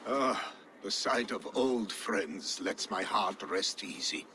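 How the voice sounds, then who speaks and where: A loyal, dutiful steward speaks in a low, earnest voice with a dry edge. Game ambience is clearly audible behind him. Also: male voice, takes it slowly, noisy background. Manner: earnest, dutiful, dryly wry.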